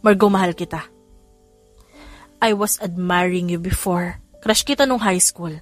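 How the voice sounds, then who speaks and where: A woman's voice: lowish voice, fairly even intonation, a little slow, soft background music, close to an everyday voice. A woman voicing an earnest, hesitant young man in love in a romance audiobook. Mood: earnest confessing. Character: earnest lovestruck young man, tough but timid.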